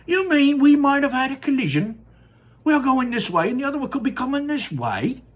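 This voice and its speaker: A man's voice: very high voice, very animated, brisk, pitched above the performer's own voice, exaggerated voice. A man voicing an alarmed middle-aged father who exclaims in indignant outbursts.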